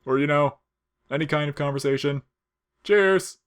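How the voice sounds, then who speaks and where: A laid-back, wisecracking skeleton guy as a man reads him in a story. His talk is slangy and flippant, often lifted well above a low narrating voice. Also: moderate pace, clean tone, natural voice. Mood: breezy.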